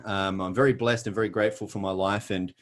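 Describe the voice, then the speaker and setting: Australian male presenter, middle-aged, lower register, earnest and emphatic, on a video call. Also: fairly even intonation, quick pace. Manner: earnest, emphatic storytelling with self-deprecating jokes. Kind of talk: scripted motivational presentation.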